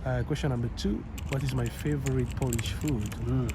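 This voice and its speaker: A young man from Rwanda hosting a casual Q and A vlog outdoors in a city, chatty and relaxed. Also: medium pitch, moderate pace. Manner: relaxed, chatty, loose and upbeat. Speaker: YouTube channel host. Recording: outdoor city noise and traffic. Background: street and traffic noise.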